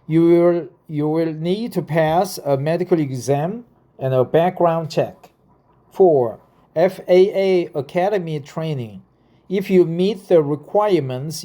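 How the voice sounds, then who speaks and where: Slow, mellow Korean-accented man in his fifties. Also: a little high. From South Korea. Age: 50s. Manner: halting, deliberate, repeats phrases. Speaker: vlogger explaining a career path. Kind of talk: reading aloud from notes with casual personal asides.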